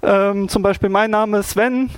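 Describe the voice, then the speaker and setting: High-voiced man explaining casually. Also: fairly even intonation, a little slow, clean tone, dry recording. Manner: filler-heavy, rambling, frequent restarts. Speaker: presenter explaining Japanese scripts.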